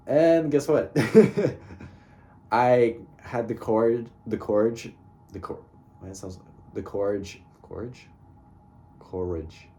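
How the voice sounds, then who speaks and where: A young man gives a loose personal monologue, full of fillers and restarts, laughing at himself as he goes. His voice sits deep and low, with a mellow, rounded tone. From grew up in Russia from childhood. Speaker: vlogger.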